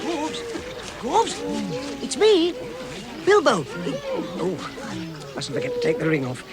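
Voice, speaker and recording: A man's voice: very high voice, huge pitch swings, slow pace, loud music behind the voice, full character voice. A man playing a timid but plucky hobbit adventurer in a vintage British radio drama, stammering and exclaiming to himself. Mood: urgent, caring. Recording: vintage radio recording with loud background noise.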